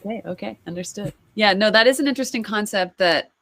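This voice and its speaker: A woman's voice: mid-range voice, fast, a bit bright. A woman co-hosting a podcast, chatting casually and in a friendly way as she introduces videos, laughing easily. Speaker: podcast co-host. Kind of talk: casual chat reacting to videos.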